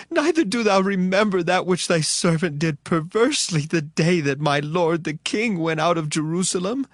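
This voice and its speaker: A man's voice: high voice, exaggerated voice. An actor playing a penitent man begging a king's pardon in a dramatized Bible audiobook, pleading in archaic scriptural language.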